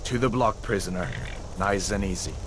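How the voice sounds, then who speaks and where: An actor playing a dutiful, sympathetic Imperial soldier in a fantasy video game, measured and quietly authoritative. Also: male voice, lowish voice, lightly acted. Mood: calm, firm. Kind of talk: video game character acting. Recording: noisy outdoor game scene ambience.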